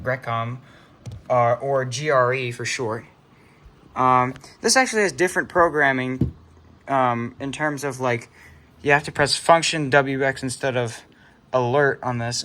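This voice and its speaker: A man's voice: mid-range voice, pushed and bright. A man giving a casual, unscripted show-and-tell as a hobbyist vlogger, rambling, trailing off and correcting himself as he goes. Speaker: hobbyist vlogger presenting a radio collection.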